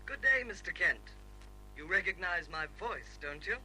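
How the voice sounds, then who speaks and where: A man's voice: high-pitched, expressive, moderate pace, pushed and bright, exaggerated voice, poor audio. An actor playing a mysterious, taunting anonymous male caller in an old-time radio crime drama, coy and politely menacing over the telephone. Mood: sly, teasing. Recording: old radio recording, music behind.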